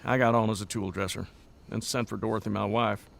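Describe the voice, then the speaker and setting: A tired rancher with a low, gravelly voice. He talks in a folksy, matter-of-fact way, like a stoic man in a naturalistic audio drama, with faint noise behind him. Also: steady tone, a little fast. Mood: plain, resigned. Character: weary, plainspoken Montana rancher hit by drought.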